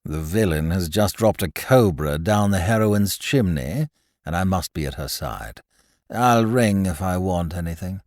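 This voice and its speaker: A man voicing a languid, smug young man laid up in bed, who speaks in a quiet, slow, patronizing drawl. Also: sits low, animated, no echo, clean studio sound, pitched below the performer's own voice.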